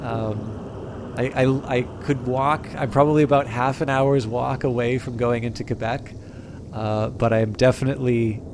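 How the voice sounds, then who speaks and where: A man's voice: medium pitch, fairly even intonation, unhurried. A young adult man from Canada, chatting casually and at length while live-streaming a game, with many fillers and restarts. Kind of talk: casual live-stream chat over gameplay. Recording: noticeable background noise.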